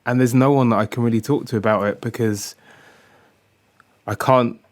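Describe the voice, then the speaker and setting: A man's voice: mid-range voice, steady tone, normal speed, natural voice. A man playing a disappointed, withdrawn academic husband, speaking hesitantly and guardedly in a naturalistic audio drama. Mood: lonely, resigned.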